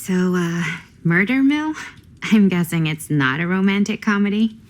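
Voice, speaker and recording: A woman's voice: mid-range voice, animated, normal speed, lightly acted. An actress playing a curious, uneasy young woman in a video game, speaking in a hesitant, questioning way. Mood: tentative.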